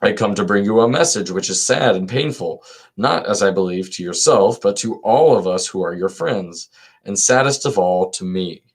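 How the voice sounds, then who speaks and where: A man's voice: on the low side, animated, normal speed, husky. A man reading the part of an anxious, devoted old Athenian friend in a philosophical dialogue, earnest and formal. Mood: sorrowful.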